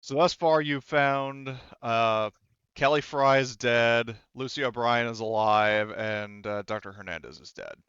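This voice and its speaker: A man's voice: mid-range voice, fairly even intonation, measured pace, a bit bright, clean tone, no room sound, lo-fi sound. A man improvising casual narration as a tabletop game master, explaining things in a hesitant way.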